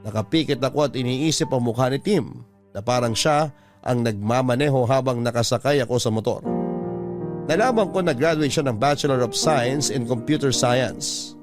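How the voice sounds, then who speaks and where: Casting: male storyteller, radio-program letter narration, confiding tone, soft music underneath. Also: medium pitch, normal speed. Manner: intimate, confiding, reads a listener's letter aloud. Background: faint music.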